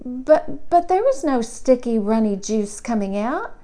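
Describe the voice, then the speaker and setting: Woman voicing a high-pitched, earnest little boy. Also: very animated, mellow. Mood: puzzled, earnest. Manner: halting, stammering childlike repetitions. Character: earnest, puzzled four-year-old boy telling his story. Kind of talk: children's picture book read-aloud in character.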